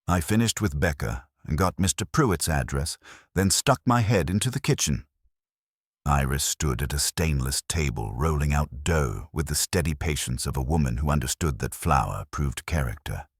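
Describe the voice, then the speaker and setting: A man's voice: a bass voice, slightly rough, no room sound, studio quality. A man reading a scripted first-person detective story as an audiobook narrator.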